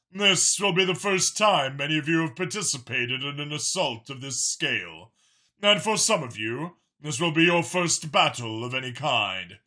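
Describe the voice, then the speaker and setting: A man performs a noble alien commander in a science fiction audiobook. The oratory is solemn and impassioned, pitched high and swinging widely for emphasis. The tone is bright and forceful. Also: heavily acted. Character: noble alien war commander giving a rousing speech.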